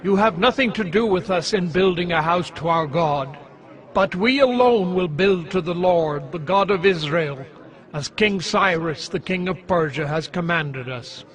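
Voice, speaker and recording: A stern, high-voiced leader of ancient Judah. He declaims formally, as in a dramatized scripture recording, standing his ground with authority. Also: measured pace, a bit bright. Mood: firm refusal. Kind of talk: dramatized audio Bible acting.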